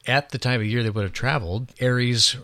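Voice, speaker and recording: A man's voice: medium pitch, fairly quick, sharp tone, dry recording. Earnest male radio host, dry studio sound. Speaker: radio show host and storyteller. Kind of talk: reflective radio discussion with explanations and appeals to listeners.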